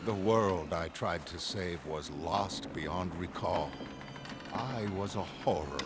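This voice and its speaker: A man voicing a grim castaway narrating a pirate horror comic in a slow, florid, theatrical manner. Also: deep voice, over music. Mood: despairing. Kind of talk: gothic storybook narration.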